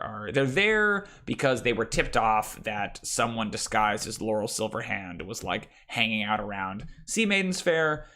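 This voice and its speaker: A man with a deep voice, a game master thinking aloud about his campaign in a casual, rambling way with many fillers. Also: pushed and bright. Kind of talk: casual prep talk about running a tabletop campaign.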